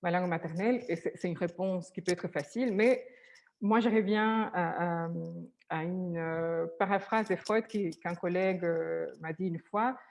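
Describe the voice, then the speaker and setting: A woman's voice: on the low side, moderate pace, a little mellow. A woman therapist whose first language is Spanish, speaking hesitantly with many restarts and fillers.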